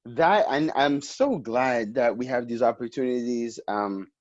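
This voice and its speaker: A man's voice: mid-range voice, unhurried, darkish tone, dry recording. A man hosting a community interview, casual and upbeat with slangy talk. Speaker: host. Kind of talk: casual interview over video call. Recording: dry, lo-fi call audio.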